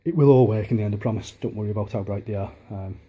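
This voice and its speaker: A man in his thirties from Liverpool, chatting casually on a home vlog with a softened Liverpool accent, rambling with many restarts and ums, filmed on a handheld camera in his dining room. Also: fairly low pitch, rapid-fire, slight background noise. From Liverpool, England. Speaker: hobbyist vlogger. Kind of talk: casual home video chat.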